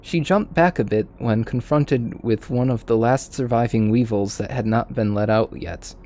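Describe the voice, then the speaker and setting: A man's voice: medium pitch, moderate pace, a little mellow. A man reading a story aloud in an informal way, sometimes stumbling over lines. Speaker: fan fiction reader.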